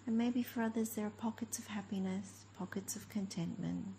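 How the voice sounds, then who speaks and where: A woman leading a guided meditation as a teacher, speaking gently in short, unhurried phrases with long pauses. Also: medium pitch, creaky, a little hiss or hum. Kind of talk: guided meditation, slow spoken instruction.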